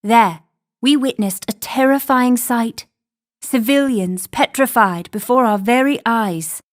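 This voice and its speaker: A woman's voice: a little high, slow, no room sound. Confident young woman, close and dry. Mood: reflective. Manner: composed narration, teasing banter. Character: confident, sharp-tongued young woman, professor's assistant. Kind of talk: animated game cutscene acting and story narration.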